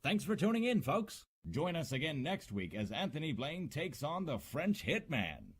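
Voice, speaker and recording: Animated man acting a radio announcer. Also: fairly high pitch, normal speed, slight background noise. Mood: showy.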